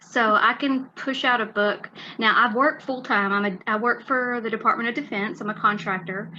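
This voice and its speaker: A woman's voice: fairly high pitch, steady tone, normal speed, muffled recording, background noise. A woman in her forties from West Texas with a West Texas accent, chatting as an author guest with many fillers and restarts. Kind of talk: casual interview chat.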